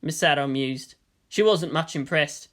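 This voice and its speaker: A woman's voice: deep voice, measured pace. A woman reading scripted story narration as the narrator. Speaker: narrator of fan fiction reading.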